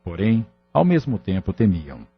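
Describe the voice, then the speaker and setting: A man's voice: fairly low pitch, very animated, a little slow, husky. A man with a Brazilian accent narrating a scripted audio novel in a dramatic storytelling style. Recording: slightly muffled.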